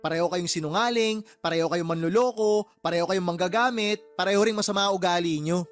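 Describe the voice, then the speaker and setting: A man's voice: high voice, fast talker, soft background music, lightly acted. A man playing a fed-up, exasperated uncle and boss in a Tagalog radio drama, scolding his sister with heated, sarcastic questions. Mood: angry, fed up.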